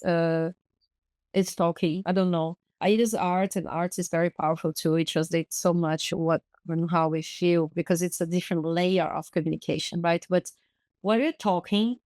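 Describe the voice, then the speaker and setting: A woman's voice: fairly low pitch, mellow, dry recording. A woman from Brazil, a native Portuguese speaker with a Brazilian Portuguese accent, talking thoughtfully as a podcast guest and searching for her words. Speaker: guest artist and activist. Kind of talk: podcast interview.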